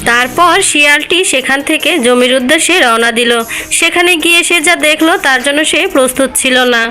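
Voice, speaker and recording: A woman's voice: sits high, moderate pace, sharp tone, soft background music. A woman narrating a scripted children's folk tale as the storyteller.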